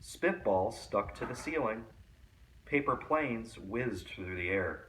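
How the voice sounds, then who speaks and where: A man reading a children's picture book aloud as a storyteller, in an expressive storytime style. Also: on the low side, slow, recorded from a distance, low-quality recording.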